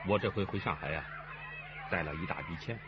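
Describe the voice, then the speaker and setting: An actor playing a shady, bossy middle-aged fugitive in a radio drama. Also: male voice, deep voice, brisk, muffled recording, very noisy, lightly acted. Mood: scheming, secretive.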